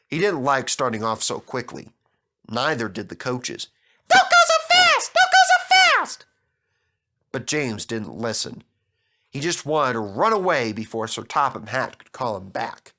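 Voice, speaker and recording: A man's voice: medium pitch, a bit bright. A man reading a scripted children's story aloud as its narrator.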